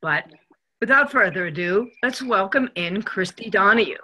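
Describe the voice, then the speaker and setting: A woman's voice: mid-range voice, animated, normal speed, pushed and bright. A woman hosting a show in a casual conversational style. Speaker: show host.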